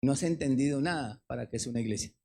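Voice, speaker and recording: A male pastor preaching an impassioned sermon, swinging between loud exhortation and quieter explanation. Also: medium pitch, quick pace, creaky, husky.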